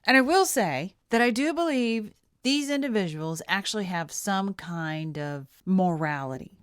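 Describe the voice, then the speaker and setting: A woman's voice: medium pitch, dry recording, studio quality. A woman giving blunt, opinionated commentary on body language, sometimes dropping to a whisper. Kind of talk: video commentary.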